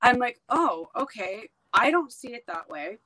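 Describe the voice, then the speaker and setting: A woman's voice: a little high, sing-song, a little slow, crowd noise, poor audio. A woman whose first language is Hebrew, talking bluntly and emphatically as a guest in a casual podcast conversation.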